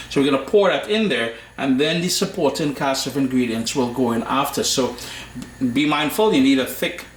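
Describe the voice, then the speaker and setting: A man with a Trinidadian accent talks through a cooking lesson in an easygoing, chatty way, tossing in slangy asides. His voice sits at an ordinary middle pitch. The kitchen around him gives the recording a noticeable echo. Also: slight background noise. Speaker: cooking show host. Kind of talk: casual cooking tutorial.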